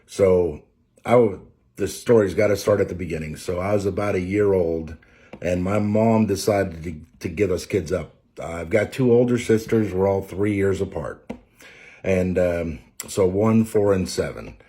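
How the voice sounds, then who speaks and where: A man in his forties from Maine with a Maine accent, telling his life story unscripted, halting and close to tears. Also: low-pitched, warm tone. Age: 40s. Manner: halting, many fillers and restarts. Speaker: trucker and preacher. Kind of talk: unscripted personal testimony.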